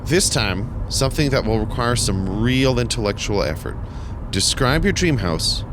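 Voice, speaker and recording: Pedantic uptight planner, played by a man, formal and preachy, lively intonation, road noise. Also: medium pitch, moderate pace, lightly acted. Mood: lecturing. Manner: formal, lecturing, over-precise. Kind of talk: comedic audio drama acting. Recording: noisy car ambience.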